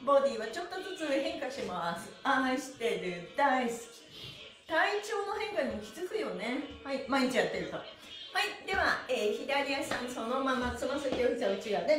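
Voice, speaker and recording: Middle-aged female fitness instructor, high-pitched, encouraging, singsong counting, heard from across a reverberant room. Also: animated, normal speed. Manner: cheerful, encouraging, rhythmic cueing. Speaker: stretching class instructor and pantomime teacher. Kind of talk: exercise instruction with chanted counts. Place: small bare indoor studio room. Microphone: camera a few meters away. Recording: echoey room, mic far off, faint music.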